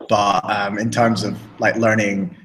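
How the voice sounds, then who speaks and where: Low-voiced rambling British man. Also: level tone, measured pace, a bit bright, slight background noise, rough recording. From Britain. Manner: rambling, many restarts and fillers. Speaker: podcast co-host. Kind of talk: casual chat. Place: living in a small town in southern Spain.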